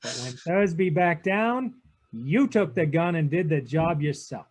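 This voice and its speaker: A man's voice: a little high, lively intonation, unhurried, a little mellow. A man playing a cynical, unyielding private detective in a 1940s-style radio drama, with a clipped, tough-talking delivery. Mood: accusing. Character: hard-boiled male private detective, cynical, unyielding to his lover. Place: online video-call read-through.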